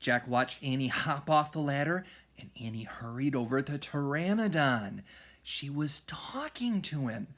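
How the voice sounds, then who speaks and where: A man reading a children's storybook aloud in a dramatic manner, often hushed for suspense. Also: medium pitch, expressive, a little slow. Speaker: music teacher reading aloud.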